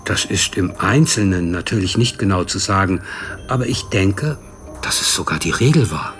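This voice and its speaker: An actor playing a matter-of-fact male official at a care institution, measured and polite, in a naturalistic radio drama. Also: deep voice, lively intonation, fairly bright tone, faint music behind.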